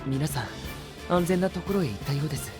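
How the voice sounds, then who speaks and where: A man playing a polite, composed, considerate young gentleman in a Japanese anime audio drama, speaking formally. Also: on the high side, fast talker, music in the background, close to an everyday voice. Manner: formal, courteous.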